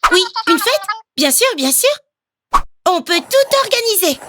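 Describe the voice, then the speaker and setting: A woman's voice: very high-pitched, big pitch swings, measured pace, background noise, heavily acted. A woman voicing a polite, organised young girl who speaks for her friends in a children's cartoon. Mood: businesslike, bright. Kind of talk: dubbed children's cartoon acting. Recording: children's music behind the voice.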